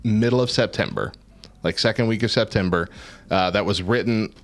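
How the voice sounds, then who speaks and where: Low-voiced joking stream host. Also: male voice, moderate pace. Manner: jokey, laughs often. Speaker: co-host of a live-streamed morning show. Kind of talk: casual chat on a live stream.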